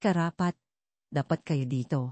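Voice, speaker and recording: A woman voicing a gentle, protective foster father in an audio drama, speaking in an earnest, measured way. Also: low-pitched, dry recording. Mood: reassuring. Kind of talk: audio drama storybook reading.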